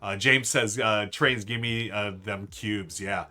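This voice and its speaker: A man's voice: lowish voice, fairly even intonation, slow, sharp tone. A man hosting a casual live stream, ranking board games in an enthusiastic, rambling way with lots of fillers and restarts. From United States.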